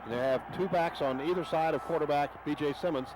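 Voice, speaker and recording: Man calling football on radio, noisy broadcast. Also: fairly high pitch. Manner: rapid, rising to shouted excitement on big plays. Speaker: radio play-by-play announcer. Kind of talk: radio play-by-play commentary.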